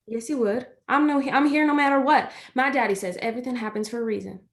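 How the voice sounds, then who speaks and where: A woman's voice: a little high, quick pace, lightly acted. An actress playing a loyal, reassuring young country woman in a stage play reading, plain-spoken and earnest. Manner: folksy, earnest, reasoning things out.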